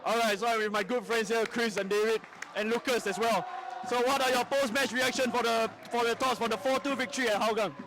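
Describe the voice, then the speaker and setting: Hoarse high-voiced man vlogging. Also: brisk, poor audio. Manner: excitable, rambling with fillers. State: losing his voice, hoarse. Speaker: football vlogger. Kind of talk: casual post-match vlog. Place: outside a football stadium. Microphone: handheld phone with clip mic.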